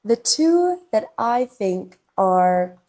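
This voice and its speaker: A woman hosting a slow English podcast, speaking in short, deliberate phrases with long pauses, a little congested and coughing from a cold. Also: medium pitch, sing-song, warm tone. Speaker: podcast host and English teacher.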